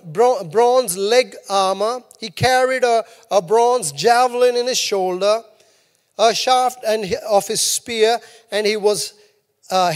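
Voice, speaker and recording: A man preaching from the pulpit in a voice pitched unusually high, driving his points home by saying them twice. His words ring out through a large church hall with a long reverb trailing behind them. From New Zealand. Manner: emphatic, repeats key lines. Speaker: pastor. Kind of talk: sermon.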